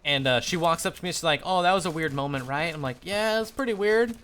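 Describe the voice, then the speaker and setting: A young man telling a casual story while gaming on a livestream, animated and slangy, swearing freely. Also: on the high side, quick pace, slight background noise. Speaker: live streamer.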